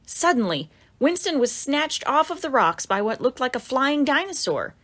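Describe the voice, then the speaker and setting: Animated woman reading a children's storybook. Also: high-pitched, moderate pace. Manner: animated, dramatic exclamations for young listeners. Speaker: author reading own picture book. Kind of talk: expressive children's storybook narration.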